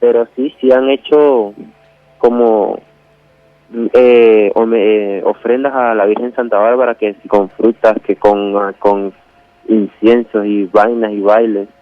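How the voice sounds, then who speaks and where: A man calling a radio show, telling a personal story in a halting, colloquial way. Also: on the low side, steady tone, no echo. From Venezuela. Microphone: telephone. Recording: dull, dry phone call to radio.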